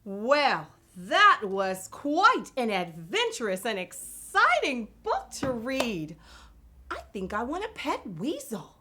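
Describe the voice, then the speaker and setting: Female children's story host: highish voice, very animated, emphatic, talking straight to little listeners. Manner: expressive, emphatic, deliberate. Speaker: children's read-aloud show host. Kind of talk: addressing young children directly.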